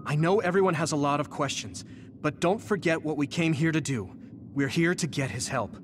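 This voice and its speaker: A man voicing a cautious, focused young soldier in an anime game, serious and measured. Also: a little high, natural voice. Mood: firm. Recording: music and rain behind the voice.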